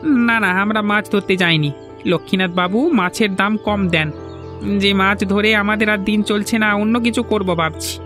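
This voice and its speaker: A henpecked fisherman sidekick from a village cartoon, acted by a man. He speaks high for a man, nagging a little but agreeable. There is faint music underneath. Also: moderate pace, natural voice. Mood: earnest. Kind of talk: animated folk-tale dialogue.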